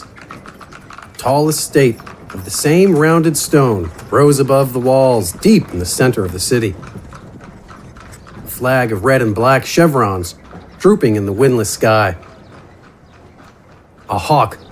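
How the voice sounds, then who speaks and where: A man narrating a scripted fantasy story in a measured, descriptive storytelling manner. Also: mid-range voice, slight background noise. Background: horse and outdoor ambience effects.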